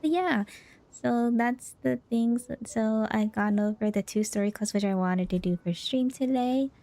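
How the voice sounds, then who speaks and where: A woman's voice: on the high side, measured pace, mellow. A woman doing casual livestream commentary as a game streamer, reacting in an informal, rambling way. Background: game music.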